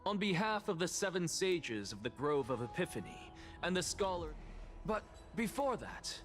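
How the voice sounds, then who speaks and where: An actor playing a composed, aloof scholar in a video game, speaking in a formal, theatrical and calculating way. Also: male voice, on the high side, big pitch swings, moderate pace, a bit bright, faint music behind. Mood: formal, probing. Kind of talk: dramatic video game acting.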